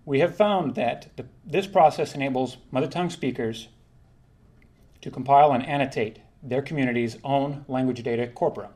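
A man presenting a prepared linguistics talk, reading in a measured, formal way and sometimes correcting himself. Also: mid-range voice, mellow. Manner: measured, formal delivery with occasional self-corrections.

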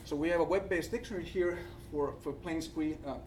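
A man with a Finnish accent gives an academic presentation, speaking haltingly and searching for words. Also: fairly high pitch. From Finland. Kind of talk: conference presentation with slides. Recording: distant mic, faint background noise.

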